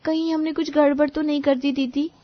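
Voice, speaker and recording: Naive young daughter-in-law for a cartoon family tale, female actor, very high eager voice, dry recording. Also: level tone, brisk, dry recording, dull audio, lightly acted. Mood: puzzled. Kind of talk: cartoon story dialogue.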